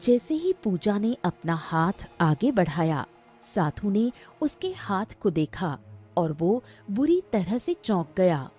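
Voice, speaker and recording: Slow, expressive woman storyteller. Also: mid-range voice, slightly rough, faint music behind. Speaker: narrator. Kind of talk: dramatic scripted story narration.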